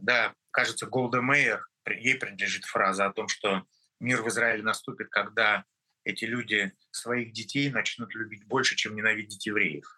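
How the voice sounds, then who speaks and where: A middle-aged man from Russia, a guest in an interview, speaking earnestly and emphatically in short, measured phrases. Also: lowish voice, steady tone, sharp tone, rough recording.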